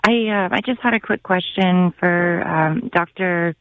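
Hesitant woman caller, creaky, tinny phone. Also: on the low side, unhurried, no echo. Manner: hesitant, apologetic. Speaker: caller to a radio show.

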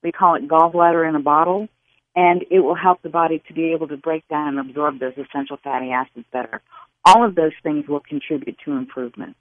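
A woman guest expert giving health advice to callers on a call-in radio show, explaining in a steady, instructive way. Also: deep voice, a little fast, no room sound. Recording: phone-line sound.

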